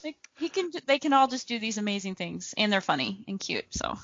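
A woman co-hosting a casual chat about films, emphatic, swearing freely and quick to laugh. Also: mid-range voice, sing-song, fairly bright tone, no room sound, dull audio.

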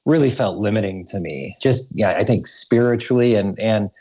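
A man's voice: fairly low pitch, normal speed, warm tone, husky. A man raised in Idaho, guest on a podcast, speaking earnestly and thoughtfully with frequent restarts. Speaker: school research director, guest. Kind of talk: podcast interview.

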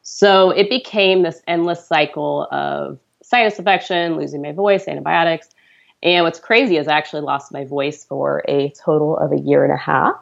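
A low-voiced woman in her thirties with a gravelly edge tells her story to a podcast host. She talks in an earnest, chatty way and laughs easily. The sound is slightly dull and lo-fi. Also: steady tone, moderate pace. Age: 30s. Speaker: podcast guest. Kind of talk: conversational storytelling.

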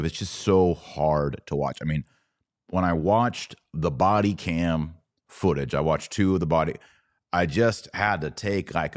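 A man hosting a podcast, giving solo commentary on the news in an earnest, emphatic way with short, deliberate phrases. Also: very deep voice.

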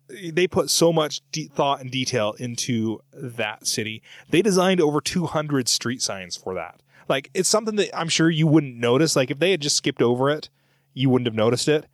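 A man's voice: mid-range voice, no room sound. A middle-aged man with a mid-range voice recorded very dry. He hosts a podcast in a chatty, loose style, reading out comments and wandering off, then correcting himself as he goes. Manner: chatty, rambling, self-correcting. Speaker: podcast host. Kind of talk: casual chat, reading out listener comments.

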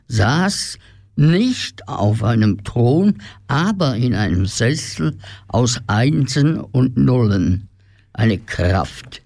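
A man tells a scripted fairy tale as the narrator of a radio play. His medium-pitched voice is strongly creaky and he takes his time, recorded close and dry. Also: a little mellow, no room sound.

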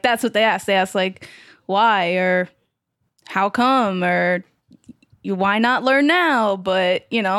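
A woman's voice: mid-range voice, a bit bright, no echo, studio quality. A woman, a guest in a casual podcast conversation, speaking frankly with an amused tone and lots of "like".

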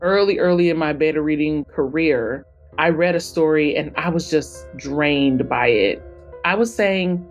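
Casting: woman with a fairly low voice, expressive interviewee in an easy conversation. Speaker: podcast guest, author and publisher.

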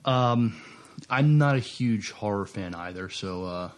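A male podcast co-host with a fairly low voice chats casually. His delivery is understated and a bit hesitant, as if thinking while he talks. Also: lively intonation, measured pace. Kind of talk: casual chat.

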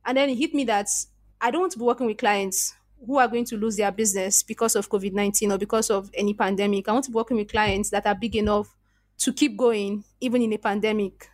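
A woman's voice: mid-range voice, steady tone, fast talker, a little mellow, dry recording. A woman with a Nigerian accent, a podcast guest telling her story in an earnest, reflective way and often restarting her sentences. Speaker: podcast guest, freelance copywriter. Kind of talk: casual interview conversation.

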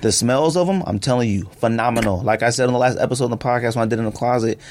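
A man with a mid-pitched voice hosts a casual podcast in a studio. He talks in slangy, jokey bursts, animated and repeating himself for emphasis. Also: very fast, slight background noise. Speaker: podcast host. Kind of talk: casual podcast banter and joking ad read.

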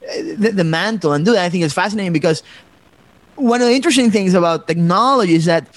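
Host from Ecuador, high male voice, thoughtful and halting, very dry recording. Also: dry recording. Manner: reflective, many fillers and restarts. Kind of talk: conversational podcast talk.

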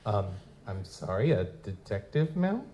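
A man's voice: lowish voice, big pitch swings, unhurried, dark tone, highs cut off. A man playing a wry, wisecracking goblin private eye in a hard-boiled noir audio drama. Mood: polite, probing. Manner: wry, feigns hesitant politeness. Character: wisecracking male lutin goblin private investigator, narrating noir-style. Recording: noisy live stage recording.

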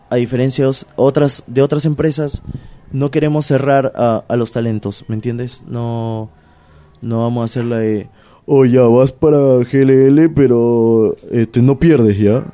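Peruvian-accented wrestler, mellow-toned, loose slangy chat, filler-heavy. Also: male voice, mid-range voice, a little slow. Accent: Peruvian Spanish. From Peru. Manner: animated, slangy, many fillers. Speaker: pro wrestler guest. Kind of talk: casual interview chat.

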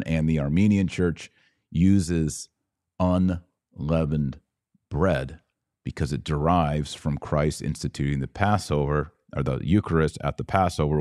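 Deep-voiced American man, close dry sound. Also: darkish tone, no room sound. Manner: emphatic, conversational, rhetorical asides. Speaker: podcast host and commentator. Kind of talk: informal opinionated religious commentary.

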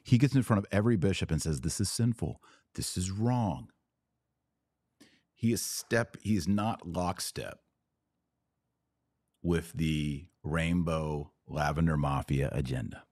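Low-voiced male podcast commentator. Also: a little slow, pauses often, darkish tone, dry recording, clean studio sound. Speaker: podcast host. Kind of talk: informal commentary on a played clip.

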